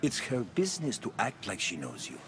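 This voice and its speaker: Wry scholarly historian, dry, lively pitch, music behind. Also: male voice, fairly quick. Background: game score music.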